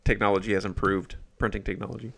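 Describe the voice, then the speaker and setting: A man's voice: lowish voice, fairly even intonation, fast, vocal fry, a bit bright, slightly rough. An American man in his forties hosting a podcast in a casual, chatty, reminiscing way. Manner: chatty, reminiscing, chuckles often. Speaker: podcast host. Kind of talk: casual conversational interview.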